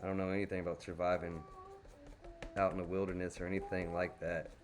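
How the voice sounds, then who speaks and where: A man's voice: deep voice, little pitch movement, fast talker, over music. A man from Dallas with a Texas accent, chatting casually and folksily to himself as he paints. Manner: folksy, chatty, talks to himself while working. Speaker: crayon painting streamer.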